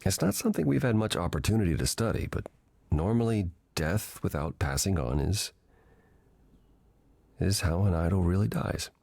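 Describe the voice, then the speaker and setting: Old weary god mentor for a video game, really deep smooth voice, patient and measured. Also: male voice, lively intonation, darkish tone, no room sound, full character voice. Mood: wistful, hesitant. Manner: measured, reflective, explanatory. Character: ancient weary god reborn in a man, gentle patient mentor.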